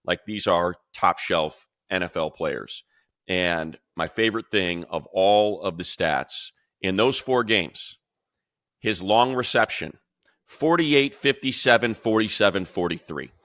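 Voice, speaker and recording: A male radio play-by-play announcer in casual sports talk, animated and talking in quick bursts with restarts. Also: deep voice, no room sound, studio quality. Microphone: video call.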